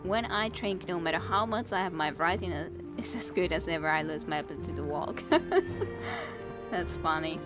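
A woman's voice: on the low side, expressive, moderate pace, music in the background. A young woman streaming a role-playing game, chatting casually with viewers, laughing often and teasing them. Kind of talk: casual game stream chat, reading dialogue aloud.

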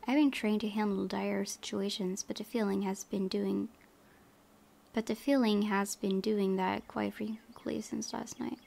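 A woman reading visual novel narration aloud as a let's play host, softly, with casual asides. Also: mid-range voice, steady tone, unhurried, gravelly.

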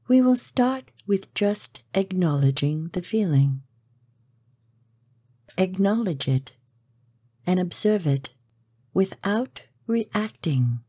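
Slow, mellow low-voiced woman guiding meditation. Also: breathy edge, no echo, clean studio sound. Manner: soothing, measured, softly spoken with pauses. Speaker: guided meditation narrator. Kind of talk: scripted guided meditation.

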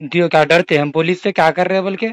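High-pitched confrontational Hyderabadi man. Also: fairly even intonation, racing. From Hyderabad, India. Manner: confrontational, insistent. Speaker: phone caller. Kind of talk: heated argument on a phone call. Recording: phone call recording.

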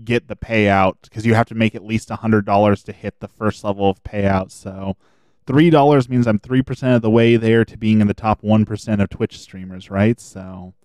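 A man streaming a video game, chatting casually and thinking aloud as he plays, his voice a little hoarse. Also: lowish voice, steady tone, moderate pace, darkish tone, dry recording. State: slightly hoarse. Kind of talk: casual gameplay commentary.

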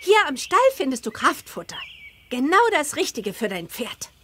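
Teenage horse girl, high voice, bouncy intonation, helpful, game tutorial, faint noise. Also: big pitch swings, normal speed, fairly bright tone. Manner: chirpy, instructive. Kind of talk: scripted video game tutorial dialogue.

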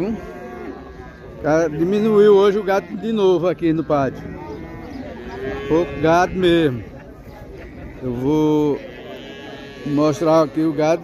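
A man's voice: on the high side, normal speed, pauses often. A man filming a walk-around of an outdoor cattle market, chatting casually and calling out greetings and prices. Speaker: vlogger filming a cattle fair. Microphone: phone camera held by the speaker. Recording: outdoor crowd noise. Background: crowd chatter and cattle.

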